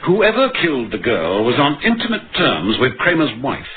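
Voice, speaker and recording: An actor playing a dogged, middle-aged police inspector in 1950s radio drama style. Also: male voice, medium pitch, wildly swooping pitch, moderate pace. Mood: earnest, pressing. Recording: muffled, noisy vintage radio broadcast.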